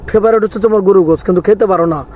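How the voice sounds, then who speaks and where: A man's voice: high-pitched, very fast, a little mellow. A man with a Bangladeshi accent hosting an informal interview as the interviewer. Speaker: host interviewer.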